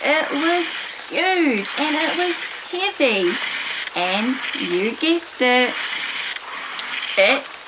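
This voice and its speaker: Expressive New Zealand woman reading to children. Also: high voice, drawn-out words, very noisy. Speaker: host of a children's story show. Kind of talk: expressive read-aloud of a rhyming picture book for children.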